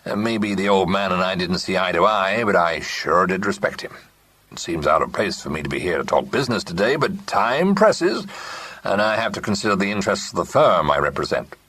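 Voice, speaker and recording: A man's voice: deep voice, animated, fairly quick, fairly bright tone, slightly rough, heavily acted. A man doing a lean, drawling business agent. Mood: courteous. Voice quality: slightly nasal drawl.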